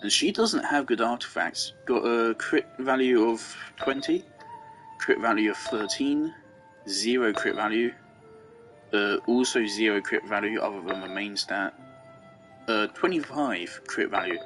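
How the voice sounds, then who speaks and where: A man with a lowish voice gives casual commentary on a game. He rambles and thinks out loud, circling back on his own points. Faint music plays behind him. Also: a little slow. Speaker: game streamer.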